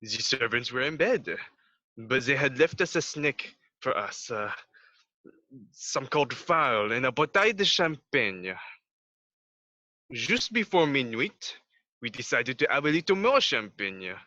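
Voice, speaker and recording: A man's voice: mid-range voice, big pitch swings, measured pace, pauses often, sharp tone, dry recording. A man playing a French duke formally giving his account as a witness in an amateur radio play. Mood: earnest recounting. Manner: formal, recounting events. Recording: slightly dull, lo-fi sound.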